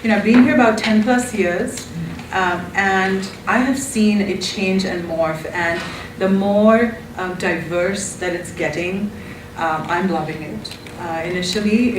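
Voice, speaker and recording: A woman's voice: mid-range voice, moderate pace, hardly any pauses. A woman in her forties from Pakistan, with a Pakistani accent, chatting as an interview guest, laughing often with many ums. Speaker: guest, marriage and family therapist. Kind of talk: conversational interview. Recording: roomy, from a distance, background noise.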